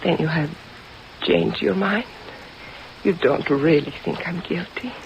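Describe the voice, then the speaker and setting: An actress playing an elegant, evasive countess in a 1950s radio drama, with dramatic, pleading delivery and formal phrasing. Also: female voice, fairly low pitch, unhurried. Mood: coy. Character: elegant, evasive countess, a seductive femme fatale suspect. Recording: dull, noisy vintage radio recording.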